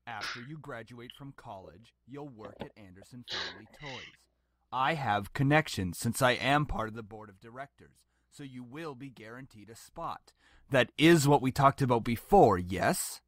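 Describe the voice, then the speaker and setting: A man's voice: medium pitch, animated, moderate pace, no room sound, close to an everyday voice. A man playing a stern, contemptuous middle-aged father in a game, with a scolding, dismissive manner. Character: stern, domineering, contemptuous father of a grown daughter. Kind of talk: dramatic game voice acting.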